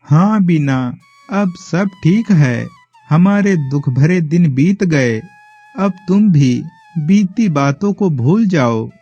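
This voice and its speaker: Guy as humble timid farmer in a kids cartoon, earnest n slow, bit muffled audio. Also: fairly high pitch, expressive, warm tone, husky, soft background music, full character voice. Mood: concerned. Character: earnest, timid poor farmer, devoted family man. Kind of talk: Hindi animated moral story acting.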